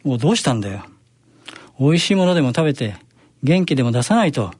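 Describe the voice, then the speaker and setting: A man playing a well-meaning but exasperated husband with a husky voice in an amateur radio drama. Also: medium pitch, very animated, fast talker, darkish tone, no room sound, studio quality.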